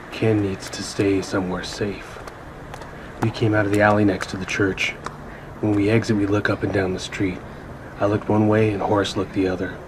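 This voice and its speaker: A man's voice: on the low side, background noise, close to an everyday voice. An actor playing a tense survivor of a zombie outbreak, narrating his escape in the first person for a radio play. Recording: loud music under the voice.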